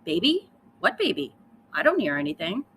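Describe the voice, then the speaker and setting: A defiant, jealous young girl from a storybook, acted out by a woman reader. She is bossy and makes emphatic, dramatic declarations with very lively pitch. There is faint noise behind the voice. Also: moderate pace. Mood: contrary.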